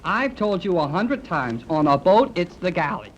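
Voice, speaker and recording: An eager, squabbling ship's boy from a thirties radio adventure, acted by a male performer. He talks informally and excitably with a very animated pitch, over a noisy old recording. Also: normal speed. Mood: eager, indignant. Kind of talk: 1930s radio serial acting.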